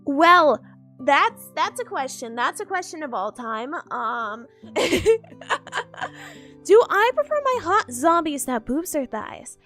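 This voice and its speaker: A woman's voice: sits high, very animated, takes it slowly, bright, forceful tone. A woman streamer chatting casually with her viewers, playful and giggly, repeating herself, groggy from just waking up. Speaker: VTuber streamer. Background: faint background music.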